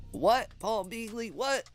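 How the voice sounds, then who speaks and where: A man's voice: high voice, sing-song, slow, faint music behind. A man with a stuffy nose hosting a casual live stream, chatting and reading viewer comments aloud.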